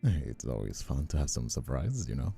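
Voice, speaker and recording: A man with an exceptionally deep, very mellow and dark voice hosts a relaxed stream chat. His delivery is conversational with animated pitch movement, over faint music. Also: a little fast, gravelly. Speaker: host, streamer and voice actor. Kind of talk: casual live stream chat. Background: faint background music.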